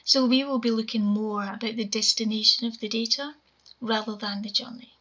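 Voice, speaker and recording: Quiet-voiced Scottish woman presenting. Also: on the high side, fairly even intonation. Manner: measured, explanatory presenting. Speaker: conference speaker. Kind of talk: recorded conference talk over slides. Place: home room, indoors. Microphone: webcam video call. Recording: dull lo-fi webcam audio.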